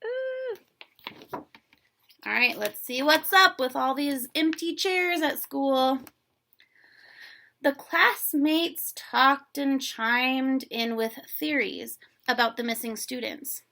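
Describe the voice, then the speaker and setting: Female storybook reader for kids, expressive and chatty, slower pace with some creak in the voice. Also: high voice. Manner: chatty, playful, expressive, exclaims and comments to young listeners. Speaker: teacher reading a children's book aloud. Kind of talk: animated story read-aloud with casual asides.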